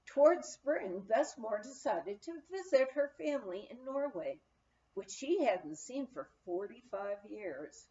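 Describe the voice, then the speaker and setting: Female audiobook narrator, higher voice, unhurried storytelling delivery, slightly dull recording. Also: sing-song, warm tone. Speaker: audiobook reader. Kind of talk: scripted memoir narration, read aloud.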